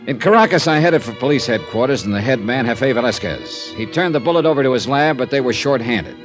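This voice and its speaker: An actor with an American accent playing a tough, wry insurance investigator in a golden age radio detective drama, with a clipped, sardonic manner. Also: male voice, medium pitch, big pitch swings, brisk, soft background music, lightly acted. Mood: wry narrating.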